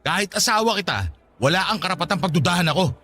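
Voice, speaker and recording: A high-voiced, defensive husband in a radio drama. He talks quickly with a sarcastic, argumentative edge, over faint ambient noise. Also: expressive, pushed and bright, full character voice. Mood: defensive, exasperated. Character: exasperated, defensive husband arguing with jealous wife.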